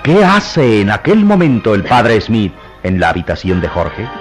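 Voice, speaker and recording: A man's voice: lowish voice, wildly swooping pitch, measured pace, faint music behind. A man giving dramatic, scripted narration for a radio drama.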